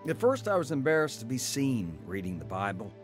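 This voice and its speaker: An actor playing a stubborn, troubled husband in a radio drama, plain-spoken and earnest. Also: male voice, mid-range voice, huge pitch swings, brisk, over music. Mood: earnest recounting. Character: stubborn, troubled husband recounting his conversion. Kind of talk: radio drama narration and dialogue.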